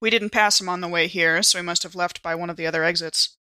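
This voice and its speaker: A woman's voice: on the low side, steady tone, fast talker, sharp tone, clean tone, dry recording, studio quality. A woman playing a tabletop roleplaying game and chatting casually at the table.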